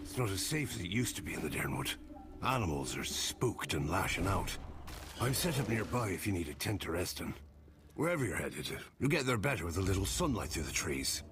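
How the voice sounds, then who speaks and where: Deep-voiced wary woodsman. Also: very animated, a little fast, background noise. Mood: wary, helpful.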